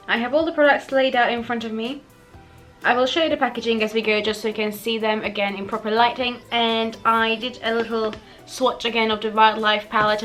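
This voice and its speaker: A young woman with a slightly croaky voice after the flu, chatting casually through a makeup tutorial and often correcting herself. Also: on the high side, fairly bright tone. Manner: chatty, self-correcting, giggly asides. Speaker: beauty vlogger.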